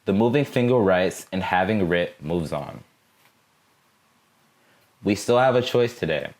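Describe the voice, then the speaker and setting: A man giving a solemn, measured dramatic reading of a speech in an oratorical style. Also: low voice, animated, a little mellow, rough recording. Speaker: reader in a student theatre performance.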